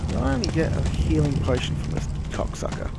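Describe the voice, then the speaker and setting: An Australian man talks loosely over his gameplay, rambling and swearing as he goes. His voice sits a little high and the capture carries clear noise. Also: very animated, fairly quick. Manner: casual and rambling, swears a lot. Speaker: gamer playing on stream. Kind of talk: casual gaming chat. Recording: noisy game capture.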